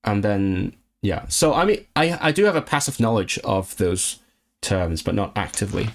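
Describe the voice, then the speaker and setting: Young guy streaming, lowish voice, thinks out loud, lots of restarts and questions to chat. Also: animated, moderate pace, creaky. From Hong Kong. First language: Cantonese. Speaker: language-learning streamer. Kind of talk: casual live-stream chat reading viewer comments.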